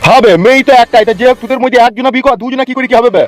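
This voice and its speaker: Man as hot-headed, foul-mouthed cartoon uncle, very rapid high-pitched bluster over music. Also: steady tone. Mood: angry. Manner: blustering, crude slang, wheedling speeches.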